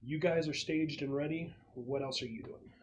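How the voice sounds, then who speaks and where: A man's voice: fairly high pitch, normal speed. Hesitant man game master, noisy recording. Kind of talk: narrating a tabletop roleplaying game. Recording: clearly noisy room recording with clicks.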